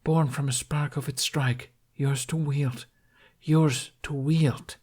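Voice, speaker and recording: A man's voice: a little high, fairly even intonation, slow pace, darkish tone, husky, pitched above the performer's own voice. A man voicing a solemn prophetic speaker who bestows a sacred weapon, declaiming portentously in an audiobook. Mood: solemn, commanding.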